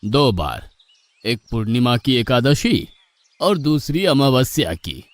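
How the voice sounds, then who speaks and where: A man voicing a pious, patient but gently exasperated ashram guru who coaxes in a measured way in a moral story. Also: medium pitch, big pitch swings, no room sound. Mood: explaining. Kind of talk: animated moral story dialogue in Hindi.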